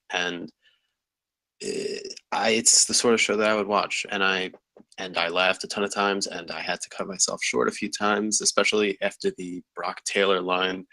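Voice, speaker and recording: A man's voice: sits low, sharp tone, dry recording, poor audio. A man giving casual feedback, earnest and a little hesitant. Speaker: script reader giving feedback.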